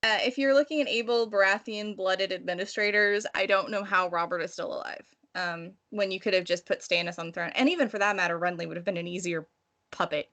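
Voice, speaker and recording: Bright-voiced woman, irreverent book chat. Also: medium pitch, a little fast. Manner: irreverent, wry. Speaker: podcast co-host discussing a novel. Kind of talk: casual analytical book discussion.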